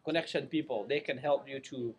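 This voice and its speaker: A man's voice: mid-range voice, a little fast. Burmese-accented middle-aged man in an echoey room. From Chin State, Myanmar. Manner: non-native English, repeats "right?" and "you know" to check understanding. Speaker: interviewee, refugee community leader. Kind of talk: casual personal storytelling. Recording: echoey room, distant mic, clear noise.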